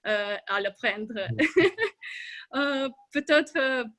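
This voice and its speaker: Woman, high voice, slow halting delivery, filler sounds, video call. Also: animated, fairly bright tone. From Ukraine. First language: Russian and Ukrainian. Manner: hesitant, many filler sounds, restarts words. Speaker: club member giving a speech. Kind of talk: hesitant prepared speech.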